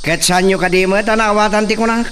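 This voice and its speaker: An actor playing a proud, confident young son of a rich landowner, recorded from a distance over faint insect noise. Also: male voice, high voice, fairly even intonation, fast, fairly bright tone. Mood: persuasive.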